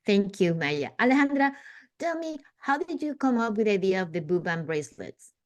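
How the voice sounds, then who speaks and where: A woman's voice: mid-range voice, big pitch swings, fairly quick. Enthusiastic woman podcast host. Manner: enthusiastic, praising. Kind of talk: interview hosting.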